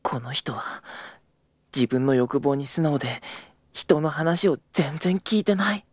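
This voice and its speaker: A man's voice: a little high, expressive, measured pace, dry recording, clean studio sound. A man playing an earnest, easily exasperated young junior travel agent in an audio drama, polite and boyish in his delivery.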